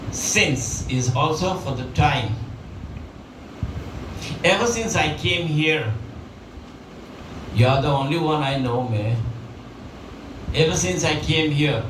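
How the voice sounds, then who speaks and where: A man teaches prepositions, running each example sentence over and over, with plenty of fillers. His voice sits a little high and sounds set back from the mic. The room rings with echo, and a steady noise runs underneath. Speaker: English teacher. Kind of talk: spoken English lesson. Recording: echoey room, mic at a distance, background noise.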